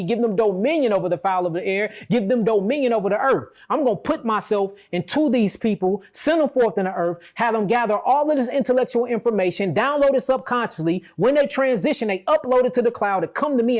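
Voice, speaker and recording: A man's voice: sits high, darkish tone. An American man giving an impassioned, conversational spiritual talk, explaining emphatically and checking in with his listener.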